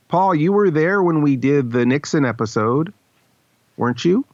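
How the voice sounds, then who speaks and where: A man's voice: on the high side, animated, a little slow, no echo. A man hosting a podcast in casual, wry, teasing chat. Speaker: podcast host. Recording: slightly dull, dry recording.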